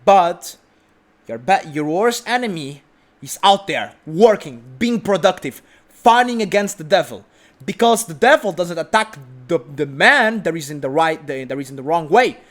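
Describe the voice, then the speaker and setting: A man with a somewhat high voice delivers an off-the-cuff motivational talk in non-native English. He hammers his points home through repetition, speaking bluntly and informally as the host of his own show. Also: expressive, moderate pace. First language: not English. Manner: emphatic and repetitive, with swearing. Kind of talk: motivational monologue, informal and unscripted.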